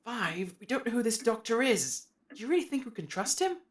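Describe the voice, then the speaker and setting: A woman's voice: a little high, very animated, a little fast. A woman playing a suspicious, curt captive with a sceptical, clipped manner in an audio drama. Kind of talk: fan audio drama acting.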